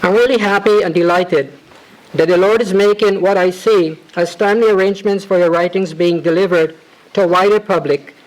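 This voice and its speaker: A man reading a formal devotional tribute letter to his spiritual teacher, measured and reverent, with ornate phrasing. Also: high-pitched, fairly even intonation, rough recording. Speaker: disciple reading a homage.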